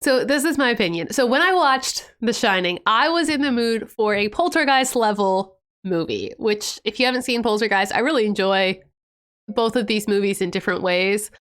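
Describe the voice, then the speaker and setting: A woman's voice: mid-range voice, normal speed, a bit bright. An American woman co-hosting a podcast, chatting casually in a rambling way and sounding a bit congested from a cold. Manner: chatty, rambling, laughs often. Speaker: podcast co-host. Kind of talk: casual chat.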